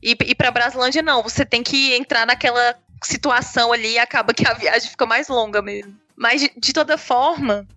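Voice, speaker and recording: Bright-voiced woman from Brasília. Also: a little high, a little fast, no room sound, soft background music. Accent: Brasília Portuguese. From Brasília, Brazil. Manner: chatty, rambling, frequent fillers. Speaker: podcast guest. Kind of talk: casual chat.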